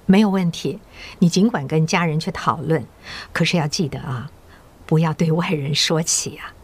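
Low-voiced, persuasive school principal. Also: female voice, breathy edge. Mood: reassuring. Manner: measured, coaxing, elder's patient tone. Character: female school principal secretly recruiting agents, persuasive, kindly authoritative. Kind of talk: audio drama acting.

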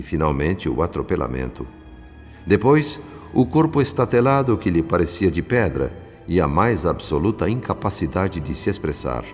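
An actor playing a solemn, compassionate spirit mentor, narrating a radio drama in formal, measured phrases. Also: male voice, deep voice. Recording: long reverb, music underneath.

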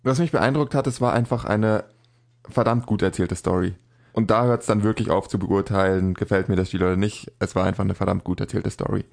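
Young man casting note: low voice, rambling emphatic podcast film reviewer. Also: fairly even intonation, fairly quick, creaky, darkish tone. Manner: rambling, self-correcting, emphatic. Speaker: podcast co-host. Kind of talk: casual film review chat.

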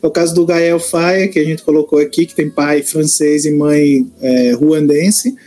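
A man's voice: on the high side, flat delivery, a little slow, mellow. A man who reviews books, musing aloud with hesitant restarts in a casual conversation. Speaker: book reviewer. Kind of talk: casual conversation about literature.

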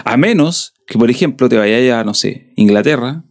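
A man's voice: medium pitch, expressive, moderate pace. A man from southern Chile with a Chilean accent, hosting a podcast in a rambling, chatty way. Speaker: podcast host. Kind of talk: casual podcast chat.

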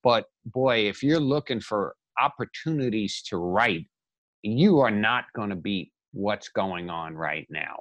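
A man's voice: fairly low pitch, expressive, unhurried, a bit bright, dry recording. Man riffing emphatically in a dry recording. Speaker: podcast co-host and writer. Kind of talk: animated casual talk, motivational riffing on writing.

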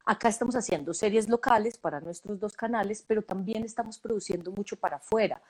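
A woman, a casting director giving relaxed, thoughtful interview answers with many fillers and restarts. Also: medium pitch, fast talker, breathy edge.

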